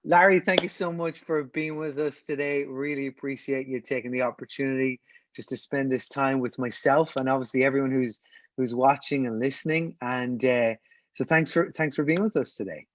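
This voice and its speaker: A man with an Irish accent hosts a podcast interview. His voice sits at an average pitch and his delivery is earnest and encouraging. The recording is a little dull and lo-fi. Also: fairly even intonation, moderate pace. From Ireland. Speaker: podcast host, pastor. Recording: dull, slightly lo-fi audio.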